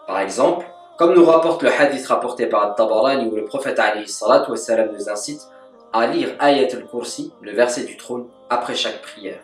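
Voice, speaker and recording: A man giving a calm, measured religious lesson as a scripted voice-over, with Arabic devotional formulas woven into his speech. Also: medium pitch, soft background music. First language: French. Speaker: religious teacher. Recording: echoey, from a distance.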